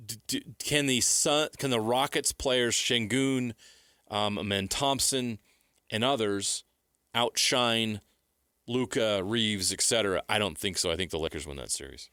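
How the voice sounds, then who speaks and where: Low-pitched, bright-voiced American sports host. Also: male voice, unhurried, clear, resonant tone, no echo, studio quality. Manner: opinionated, declarative short sentences. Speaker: sports talk show host. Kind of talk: sports talk, playoff predictions.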